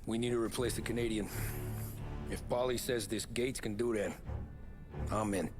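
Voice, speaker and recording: Male, low register, actor as world-weary 1930s mobster narrating his past; plain-spoken game drama, music underneath. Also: steady tone, moderate pace, close to an everyday voice. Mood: reflective, measured. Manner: plain-spoken, matter-of-fact, slangy. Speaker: narrating lead character. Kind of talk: dramatic video game acting. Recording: music behind the voice.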